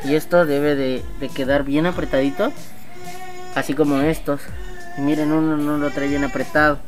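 A man's voice: fairly high pitch, little pitch movement, normal speed, music in the background. Chatty Mexican man vlogging outdoors. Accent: Mexican Spanish. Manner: chatty, slangy, addresses viewers directly. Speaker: motovlog host. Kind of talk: casual vlog chat. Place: outdoor workshop yard.

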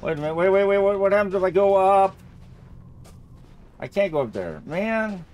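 A man's voice: high-pitched, animated, normal speed, darkish tone. A man giving casual let's-play commentary, thinking aloud and often repeating his phrases as he goes. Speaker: gamer narrating own playthrough.

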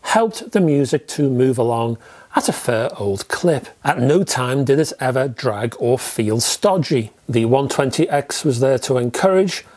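A middle-aged British man presents a review to camera. His voice sits at an average male pitch, and he speaks in measured, descriptive sentences. Key phrases get deliberate emphasis. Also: lively intonation, a little mellow. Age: 50s. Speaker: product reviewer and host. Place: indoor room with record shelves.

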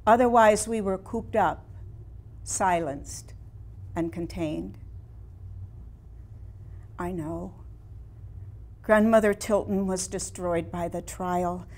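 A woman in her sixties playing an ailing, reflective elderly woman painter in a staged dramatic monologue, with theatrical, measured delivery and long pauses. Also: on the low side, a little mellow. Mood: somber, then pained. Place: small indoor room before a seated audience.

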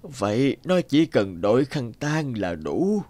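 A man voicing a stern but kindly old father in an animated folk tale, speaking slowly and deliberately. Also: medium pitch, dry recording, clean studio sound. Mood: weak, solemn. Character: stern old father, testing and finally kind.

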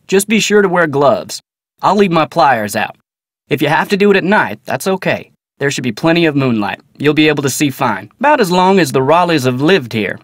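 A man's voice: a little high, no room sound, clean studio sound. An actor playing a guarded, polite American ranch foreman in a video game, folksy, clipped and matter-of-fact. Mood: helpful, matter-of-fact. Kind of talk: naturalistic video game character dialogue.

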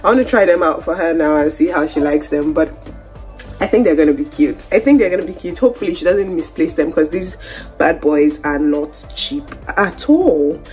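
A woman's voice: low-pitched, moderate pace, a little mellow, faint music behind. A young woman, chatting upbeat to viewers in a casual vlog from her kitchen. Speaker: vlogger. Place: home kitchen indoors. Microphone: phone camera held close.

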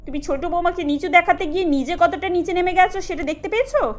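Woman voicing a stern high-pitched mother-in-law. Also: fast. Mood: reproachful. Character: proud, stern middle-aged mother-in-law.

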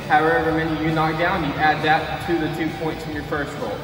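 A high-voiced young man runs a timed bowling drill and calls out his score while moving. He is heard from across a large gym with heavy, lingering reverb, and music plays behind him. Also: brisk, recorded from a distance. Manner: energetic, counts and keeps score aloud while moving. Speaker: PE coach. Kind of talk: home PE lesson demonstration. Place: large school gym, indoors. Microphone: far camera. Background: background music.

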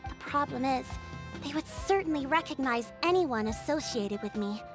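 Courteous female chief, high-pitched, poised, formal explanatory game dialogue over clear music. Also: lively intonation. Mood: courteous, assured. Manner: formal, measured explanatory phrasing. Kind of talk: video game character acting.

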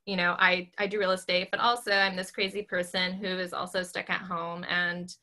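Woman in her thirties, squeaky voice, animated chat, laptop webcam, bright tone. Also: moderate pace. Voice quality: squeaky, high-pitched voice. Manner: animated, talks with her hands, many ums. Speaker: real estate agent. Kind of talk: casual video call chat. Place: small bedroom at home, indoors. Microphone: laptop webcam on video call.

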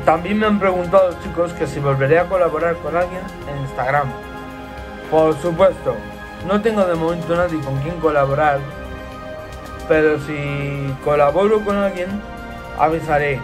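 A man's voice: on the high side, moderate pace, over music. A man, answering follower questions in a casual, repetitive vlog to a webcam in a small room. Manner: chatty and repetitive, gesturing a lot with his hands. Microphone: laptop webcam.

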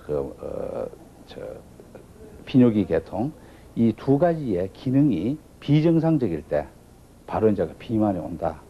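Halting middle-aged man, lowish voice. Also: creaky. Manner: halting, with frequent pauses. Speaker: oriental medicine doctor. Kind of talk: interview explanation. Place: book-lined office. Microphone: lapel mic. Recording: dull lo-fi tape with faint noise.

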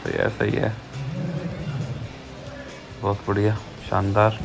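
A man's voice: on the low side, monotone, slow, creaky, a crowd in the background. A man casually narrating a vlog outdoors at a street race, talking loosely about what he sees. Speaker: vlogger. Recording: loud music over the voice.

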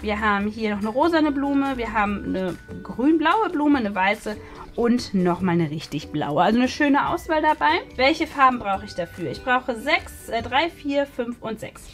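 A woman's voice: a little high, animated, normal speed, a bit bright. A woman chatting casually as she hosts a craft demonstration, thinking aloud while she works. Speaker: host of a craft haul video. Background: music.

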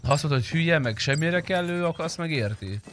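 A man's voice: a little high, animated, a bit bright, slight background noise. A young man streaming an online roleplay game, bantering casually and bluntly with coarse language. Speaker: gaming streamer. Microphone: close streamer microphone.

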